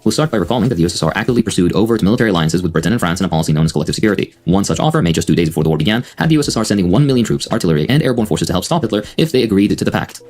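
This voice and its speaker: Brief: low male voice, quick confident scripted narration. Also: lively intonation, a little mellow. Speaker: video essayist.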